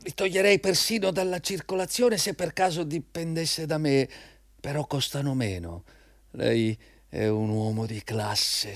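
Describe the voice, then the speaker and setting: An actor playing an ingratiating, eager job applicant in a theatrical Italian radio drama, effusive and insistent in manner. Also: male voice, on the high side, vocal fry, no room sound, studio quality.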